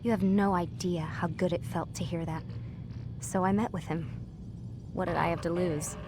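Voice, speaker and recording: An actress playing a young scientist in a video game, earnest, weary and guarded, speaking in a confiding, halting way. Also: female voice, lowish voice, steady tone, background noise, close to an everyday voice. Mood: reflective, confiding. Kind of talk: naturalistic game dialogue.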